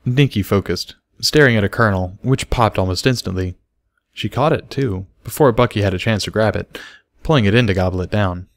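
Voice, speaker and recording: Low-voiced man narrating a story. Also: fairly quick, gravelly. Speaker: audiobook reader.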